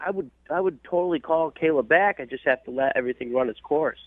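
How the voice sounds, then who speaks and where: A man's voice: on the high side, fast. Tinny phone-line man, hedging and self-justifying. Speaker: caller on a radio show. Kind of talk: casual phone conversation. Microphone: telephone.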